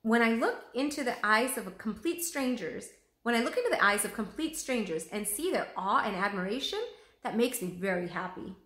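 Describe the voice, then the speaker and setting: A woman's voice: on the high side, lively intonation, normal speed, a bit bright. A woman voicing an effusive, grandly eloquent male genius artist in a storybook read-aloud. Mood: confident. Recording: roomy echo.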